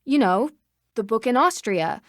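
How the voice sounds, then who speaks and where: Young girl detective in a game, polite but pushy, calm n direct, kinda high pitched, dry recording. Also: unhurried, dry recording. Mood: polite, persistent. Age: young adult. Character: confident, polite, persistent young female sleuth. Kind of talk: video game voice acting.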